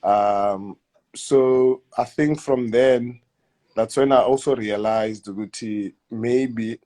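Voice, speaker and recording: A man in his thirties with a South African accent, answering interview questions in a hesitant, halting way, often repeating words and letting sentences trail off quietly. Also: medium pitch, steady tone. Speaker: guest footballer. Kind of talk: casual interview.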